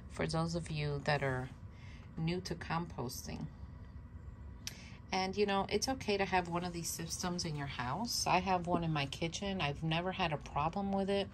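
A woman's voice: lowish voice, a little hiss or hum. A woman whose first language is Spanish, hosting a casual worm composting vlog at home, chatty and self-laughing, repeating her words as she shows her bin. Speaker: worm composting channel host. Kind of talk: casual show-and-tell vlog.

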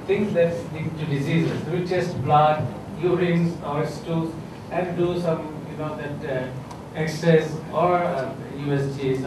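A middle-aged man with a Bangladeshi English accent, a health official giving unscripted panel remarks at a podium, hesitant, with many fillers and restarts. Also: a little high. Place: conference room with a seated audience. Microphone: podium microphone. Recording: echoey room, voice from a distance, clear background noise.

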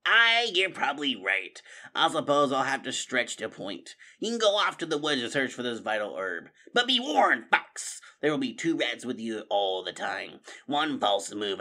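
A man's voice: mid-range voice, big pitch swings, moderate pace, hardly any pauses, pushed and bright. Man putting on a sly, menacing rat warlord. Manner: commanding, threatening.

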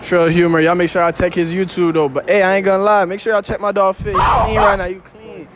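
Playful young man, high voice. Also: flat delivery, quick pace, a bit bright, shouting, slight background noise. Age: young adult. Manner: playful hype talk. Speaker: co-host. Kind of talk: street interview. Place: indoor shopping mall.

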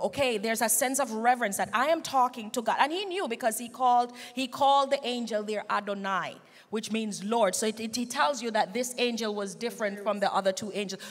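High-voiced woman in a reverberant hall. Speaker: Sabbath school host. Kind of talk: Bible study panel discussion. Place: large church stage, indoors. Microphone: handheld microphone. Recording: reverberant church hall sound.

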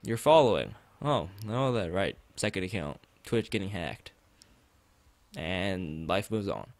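A man's voice: sits low, expressive, measured pace, dry recording. A man live streaming a visual novel, reading its text aloud and drifting into rambling asides to the chat. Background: background game music.

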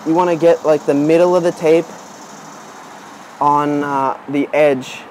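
A man's voice: on the high side, measured pace, rough recording. A man in his twenties giving a casual, unscripted how-to demonstration while working with his hands. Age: 20s. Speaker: DIY tutorial presenter. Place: outdoors on a wooden porch. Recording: outdoor camera audio with steady background noise.

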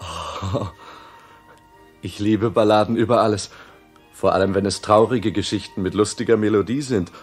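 An actor playing a simple, eager country bumpkin, naive and enthusiastic, in a 1960s radio play. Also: male voice, fairly low pitch, moderate pace, long pauses, breathy edge, faint music behind. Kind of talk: 1960s German radio drama acting.

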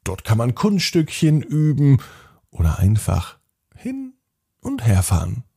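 A man hosting a children's podcast, gently narrating a bedtime story and guiding kids through a stretching routine. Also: medium pitch, wildly swooping pitch, takes it slowly, gravelly, husky.

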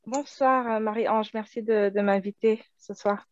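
Female panel guest, higher voice, muffled video-call audio. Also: steady tone, a little slow.

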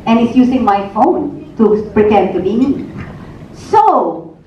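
A woman's voice: on the high side, sing-song, slow pace, dark tone, slight background noise. A woman hosting a live event on a handheld mic, chatty and laughing easily. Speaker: event host. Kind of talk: live event hosting, English mixed with Tagalog. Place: large indoor event hall. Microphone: handheld microphone. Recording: echoey hall, from far off, muffled, with crowd.

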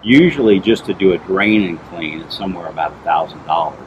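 A middle-aged man, a pool inspector, explains repairs in a plain, measured way with frequent fillers, outdoors by a backyard pool. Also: deep voice, lo-fi sound, a little hiss or hum. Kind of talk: on-site explanation to camera.

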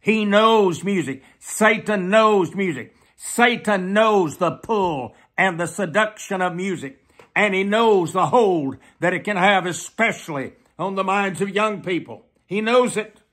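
An elderly man in his seventies preaching a solemn warning, deliberate, repeating phrases for emphasis. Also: high voice, a bit bright. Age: 70s. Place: small plain room indoors.

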